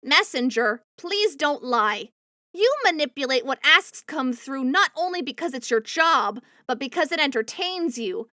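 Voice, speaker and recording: A woman's voice: sits high, lively intonation, a little slow, pushed and bright, dry recording, clean studio sound, faint music behind, full character voice. A woman voicing a protective, easily angered spider-woman foster mother with a scolding manner in a comic dub. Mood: stern pleading. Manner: indignant, scolding, slips into Spanish.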